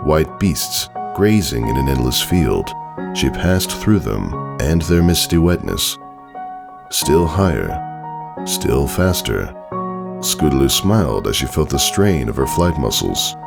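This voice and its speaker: A man reading a scripted story as narrator, with dramatic, measured phrasing and deliberate pauses. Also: extremely low pitch, vocal fry. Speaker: fan fiction narrator. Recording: music under the voice. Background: background music.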